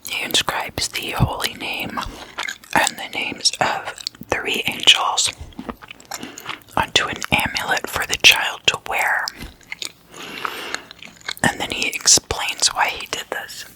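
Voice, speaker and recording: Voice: slow pace, pauses often, bright, forceful tone, low-quality recording. An ASMR host telling stories in a whisper, in a casual, gossipy, conversational manner.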